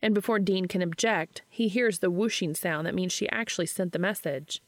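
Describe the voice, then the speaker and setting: A woman narrating fiction as an audiobook reader, in an expressive storytelling style. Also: lowish voice, moderate pace, dry recording.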